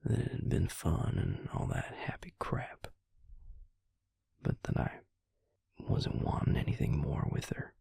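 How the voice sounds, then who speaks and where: Man playing slow, gravelly whispering vampire confidant. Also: very deep voice, wildly swooping pitch, mellow, no echo, studio quality, full character voice. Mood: rueful, candid. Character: male vampire, protective, regretful, tender confidant. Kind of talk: intimate audio roleplay, soft whispered acting.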